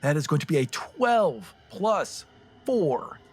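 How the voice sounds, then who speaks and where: A man playing a tabletop game, chatting casually and improvising story in an expressive way. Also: fairly high pitch, slow pace, husky.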